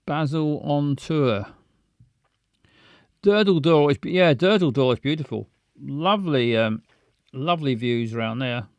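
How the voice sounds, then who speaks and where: A man's voice: medium pitch, animated, unhurried, no room sound. A man, casually chatting with stream viewers in a rambling, stammering, self-mocking way. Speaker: livestream host.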